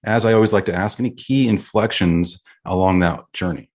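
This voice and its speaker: A man's voice: low-pitched, fairly quick, darkish tone. A man hosting a business interview show, speaking in a conversational way. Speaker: podcast host.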